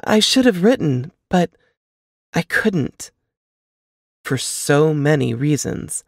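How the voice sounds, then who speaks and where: A woman's voice: low voice, sing-song, gravelly, darkish tone, no room sound, clean studio sound, full character voice. Woman voicing a subdued, weary returning sister. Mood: apologetic. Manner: subdued, halting, apologetic. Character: weary, apologetic, ailing younger woman returning home. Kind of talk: audiobook character dialogue.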